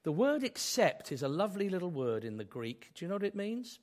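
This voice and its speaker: Hoarse preacher with a cold. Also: male voice, high-pitched, sing-song, brisk, darkish tone. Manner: conversational preaching with rhetorical questions. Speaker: pastor. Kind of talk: sermon.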